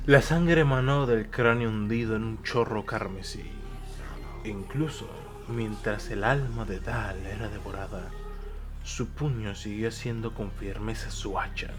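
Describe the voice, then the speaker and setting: Slow, dramatic male narrator over music. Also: on the low side. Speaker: audiobook narrator. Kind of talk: dramatic scripted narration of a war tale. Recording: music behind the voice.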